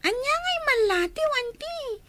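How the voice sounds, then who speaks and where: A woman's voice: very high-pitched, big pitch swings, unhurried, a bit bright, a little hiss or hum, exaggerated voice. A woman playing a naive, innocent little child who asks questions, in a radio comedy-drama. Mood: puzzled, innocent.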